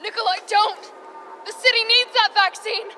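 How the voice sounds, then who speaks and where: An actress playing a determined, urgent young action heroine in a video game. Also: female voice, very high-pitched, steady tone, moderate pace, a little hiss or hum. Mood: desperate. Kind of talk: video game cutscene acting. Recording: loud game score behind voice.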